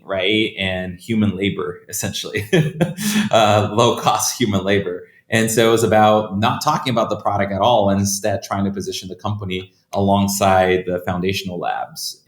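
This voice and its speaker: A man's voice: lowish voice, fairly even intonation, normal speed. A man from Brazil, a guest on an interview podcast, telling his career story in a loose, conversational way and often repeating words as he restarts. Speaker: guest, startup founder. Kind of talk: casual interview answers. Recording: echoey room.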